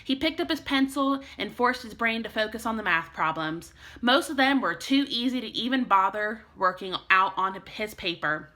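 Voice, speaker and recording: Bright-voiced woman reading a children's story. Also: mid-range voice. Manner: expressive, addressing young children. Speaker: elementary school teacher reading aloud. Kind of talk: expressive children's storybook read-aloud.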